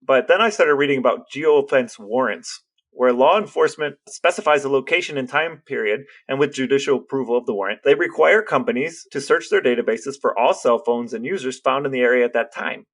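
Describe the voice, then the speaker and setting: An American man co-hosting a podcast, explaining things casually in a measured, matter-of-fact way. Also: mid-range voice. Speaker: podcast co-host.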